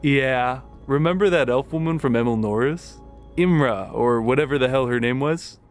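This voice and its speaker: A man voicing a wry, teasing male soldier in an audiobook, with a dry, cheeky delivery over soft music. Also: mid-range voice, normal speed, lightly acted.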